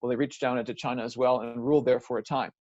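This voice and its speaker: A man's voice: mid-range voice, steady tone, quick pace, a little mellow, no room sound. A man giving an earnest, methodical lecture, walking the listener through a timeline. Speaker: lecturer presenting a series. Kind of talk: explanatory lecture. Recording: dry, slightly dull recording.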